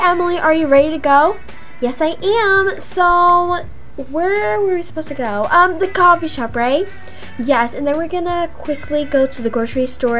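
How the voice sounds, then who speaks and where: Casting: chatty teen schoolgirl acted by a young woman, very high voice, casual game roleplay delivery over background music. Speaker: roleplay video creator. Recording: music behind the voice.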